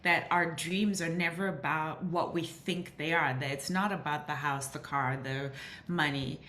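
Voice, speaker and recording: A woman's voice: low-pitched, steady tone, measured pace, a bit bright. A woman from Southern Africa, a guest telling her story in earnest with many restarts. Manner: earnest, with frequent restarts and "you know". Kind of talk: reflective interview storytelling. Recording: roomy echo.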